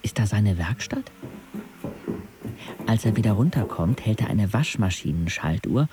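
A man's voice: fairly low pitch, expressive, measured pace. An actor playing a sardonic paralysed teenage boy, narrating his life intimately in a dry, laconic way in a radio drama. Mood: observant, quiet. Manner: dry, laconic, clipped phrases.

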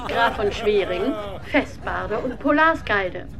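A woman's voice: mid-range voice, expressive, slow pace. A woman playing a formal announcer who delivers mock-solemn, ceremonial proclamations in a radio play. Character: formal announcer presenting mock honorary titles. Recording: busy crowd behind.

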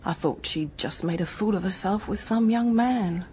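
An actress playing a young, guarded, matter-of-fact English dance hostess in a radio drama, speaking in a brisk, frank, colloquial way. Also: female voice, mid-range voice, warm tone, slight background noise, lightly acted. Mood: defensive, frank. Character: hard-headed working-class hotel dance hostess, guarded, matter-of-fact.

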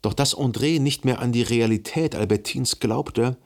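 An actor playing a brooding, melancholy man who recalls a dead lover in reflective, measured radio drama narration. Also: medium pitch, a little mellow, lightly acted. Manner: reflective, measured, analytical monologue.

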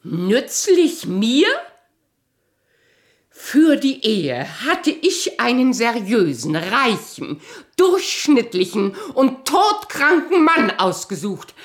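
A woman playing a proud, bitter abandoned wife in a radio drama, sharp-tongued, imperious and sardonic in a theatrical style. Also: on the high side, very animated, very slow, full character voice. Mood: furious, haughty.

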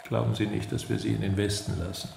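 A state security interrogator from a radio play, speaking in an echoey room. His low voice is heavily creaky and gravelly. He is measured and authoritative, coaxing and threatening in the same controlled manner. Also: flat delivery, darkish tone. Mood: cool, threatening. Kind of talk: radio drama acting. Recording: echoey room with faint noise.

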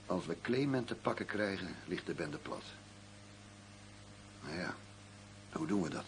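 An actor playing a shrewd, stubborn arms dealer in a crime radio drama, speaking in a curt, commanding and condescending manner. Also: male voice, low-pitched, lively intonation, unhurried, gravelly, heavily acted. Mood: calculating. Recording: faint noise and hum.

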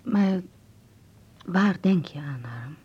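A woman acting a gentle, worried mother in a radio play, speaking softly and soothingly in a husky, breathy voice. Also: fairly low pitch, lively intonation, slow, full character voice. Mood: tender, uneasy. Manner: soft, soothing, hesitant. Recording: muffled old radio play recording.

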